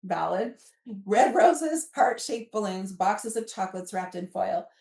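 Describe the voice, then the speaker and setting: Middle-aged American female, mid-range pitch, animated storyteller, recorded in a roomy space away from the mic. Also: measured pace, creaky. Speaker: podcast guest and author. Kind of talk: casual chatty storytelling, with some reading aloud from a book. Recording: echoey room, distant from mic.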